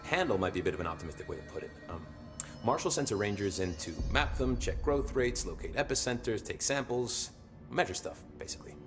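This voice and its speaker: An actor playing a blunt, wry security officer in a video game, talking casually with a sardonic streak. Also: male voice, on the low side, animated, brisk. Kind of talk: video game dialogue acting. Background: music.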